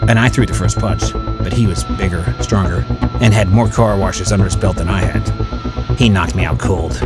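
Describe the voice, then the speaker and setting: Casting: male actor as haunted, bitter convict, low voice, brooding first-person horror narration over a music bed. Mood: agitated.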